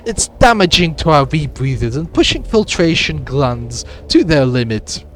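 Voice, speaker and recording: A stern soldier commander, done by a man with a hoarse cold, reports in clipped, grim phrases. His voice sits a little above his own, pushed louder, with a raspy edge. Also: a little high, big pitch swings, a little slow, a little hiss or hum.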